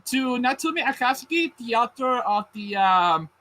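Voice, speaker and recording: A man's voice: very high-pitched, animated, measured pace, pushed and bright. A man with a Puerto Rican accent, co-hosting a casual podcast chat, rambling with frequent restarts and casual swearing. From Puerto Rico. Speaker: podcast co-host.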